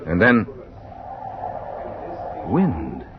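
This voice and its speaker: Tormented fearful man, low husky voice, halting fervent confession, wide dramatic swings, vintage radio drama, muffled recording. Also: huge pitch swings, noisy background, heavily acted. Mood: bewildered, agitated. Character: anguished young man, lifelong fearful, confessing his story. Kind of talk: 1940s radio acting.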